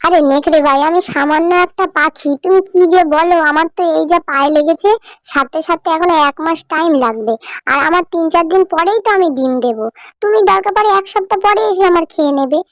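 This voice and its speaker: A woman's voice: extremely high pitch, fairly quick, no echo. A woman voicing a clever little mother sparrow in a cartoon, coaxing and persuasive. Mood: pleading, coaxing. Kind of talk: cartoon voice acting.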